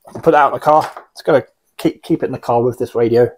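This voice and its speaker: A man's voice: medium pitch, rapid-fire, a little mellow. A British man in his forties giving a casual, unscripted gear review in a wry, blunt, rambling way, recorded in a small room. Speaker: radio hobbyist reviewing gear. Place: small indoor radio room.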